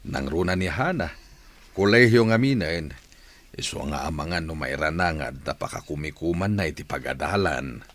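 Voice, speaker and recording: A man playing an affectionate, watchful middle-aged father in a family audio drama, with a measured paternal manner. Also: extremely low pitch, lively intonation.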